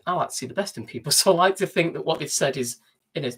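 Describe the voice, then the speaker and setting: A man's voice: fairly high pitch, lively intonation, quick pace, fairly bright tone, husky. A man with a Mancunian accent chatting easygoingly on a livestream from a narrowboat. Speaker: vlogger. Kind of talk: casual livestream chat. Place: inside a narrowboat.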